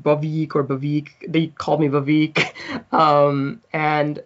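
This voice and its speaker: A man's voice: a little high, level tone, slow pace, highs cut off. A man in his thirties, originally from South Africa, telling stories as a podcast guest, laughing often and acting out the people he quotes. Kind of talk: casual storytelling.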